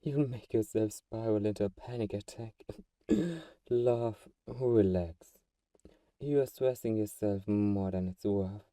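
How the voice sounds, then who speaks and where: A man's voice: on the low side, slow pace, warm tone, no echo, clean studio sound, distant mic. A man playing a tender, manipulative vampire friend in a hypnotic ASMR roleplay, coaxing and soothing with intimate endearments. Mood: calm, amused.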